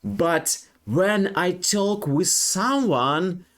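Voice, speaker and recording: A young Ukrainian man with a Ukrainian accent, speaking English as a second language in a halting personal monologue full of fillers and restarts. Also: sits high, expressive, fairly bright tone. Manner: halting, many fillers and restarts, non-native grammar. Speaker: streamer.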